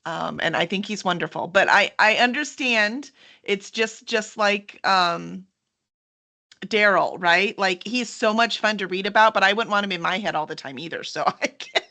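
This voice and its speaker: A woman's voice: mid-range voice, moderate pace, sharp tone. A woman hosting an informal book chat, effusive and conversational as she speaks. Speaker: host promoting a book release. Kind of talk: casual live chat.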